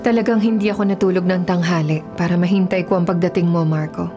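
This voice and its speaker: A woman's voice: on the low side, fairly even intonation, quick pace, lightly acted. An actress playing a disapproving, accusing woman in a radio drama. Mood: bitter, accusing. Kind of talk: Filipino radio drama acting. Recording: music behind voice.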